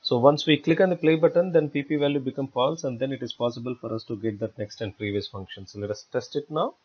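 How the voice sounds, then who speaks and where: A man giving step-by-step software tutorial narration as an instructor, in a halting, repetitive explanatory way. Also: medium pitch. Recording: dull lo-fi voice-over recording.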